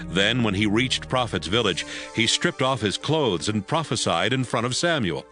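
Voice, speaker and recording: A man's voice: lowish voice, lively intonation, moderate pace, sharp tone, faint music behind. A man narrating a dramatized scripture audiobook.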